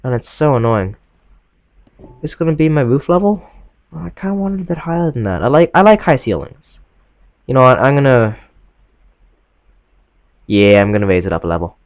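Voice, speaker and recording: A man's voice: medium pitch, very animated, moderate pace, pauses often, dry recording. A teenage boy who sounds stuffed up from a cold, rambling apologetically and poking fun at himself while commenting on his gameplay. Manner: rambling, self-deprecating and apologetic. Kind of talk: casual let's-play commentary. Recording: lo-fi recording with faint game music.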